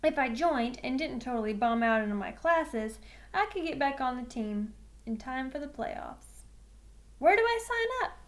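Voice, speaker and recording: A woman's voice: high voice, moderate pace. A woman reading a children's novel aloud with expression, doing the narration in a storytelling style. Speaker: teacher reading a book aloud.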